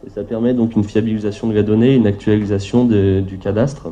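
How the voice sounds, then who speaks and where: A man giving a formal conference presentation with slides as a public agency representative, speaking haltingly with frequent hesitations and repeated words. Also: fairly low pitch, flat delivery, dark tone, highs cut off.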